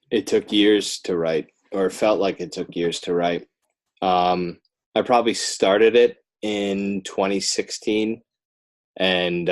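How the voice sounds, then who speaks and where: An American man talking casually as an interview guest, rambling and joking in a self-deprecating way. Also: sits low. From northern New Jersey, United States. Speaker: podcast guest, author and illustrator. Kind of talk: casual conversational interview chat.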